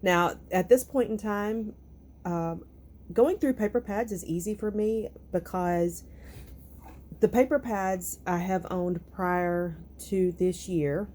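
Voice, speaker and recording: A woman with a raspy, nasal, hoarse voice, talking through her crafting clean-out in an unhurried, thinking-aloud vlog. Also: mid-range voice, warm tone, a little hiss or hum. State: recovering from illness, hoarse. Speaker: crafting vlogger. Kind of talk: casual vlog talk and voiceover.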